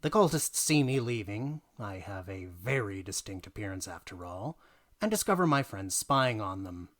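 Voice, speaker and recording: A man reading a tall tale as dramatic narration, emphatic and theatrical, swinging between hushed asides and punched lines. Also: lowish voice, very animated, moderate pace. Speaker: reader of a tabletop gaming tale.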